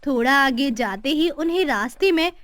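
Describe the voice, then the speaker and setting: A woman's voice: sits high, animated, normal speed, fairly bright tone, no room sound. A woman narrator reading a scripted children's moral story.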